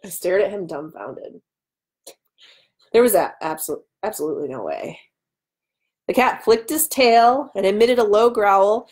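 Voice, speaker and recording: A woman, giving an animated live reading of a story in a small room at home. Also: medium pitch, unhurried, long pauses. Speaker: author and host. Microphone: webcam mic at arm's length.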